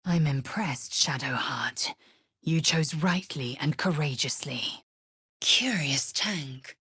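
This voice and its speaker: Githyanki warrior woman: proud and imperious, low raspy voice, slow clipped commands, fantasy video game. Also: expressive, sharp tone, no echo, studio quality, heavily acted. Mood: measured, grave. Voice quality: husky, raspy. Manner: clipped, commanding, haughty, formal wording. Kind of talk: game voice acting, short scripted lines.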